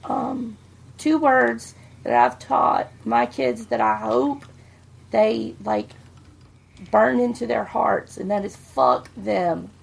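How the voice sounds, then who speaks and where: A woman's voice: on the high side, sing-song, takes it slowly, creaky, breathy edge. A middle-aged woman, chatting bluntly as a podcast co-host and swearing freely. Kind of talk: casual chat.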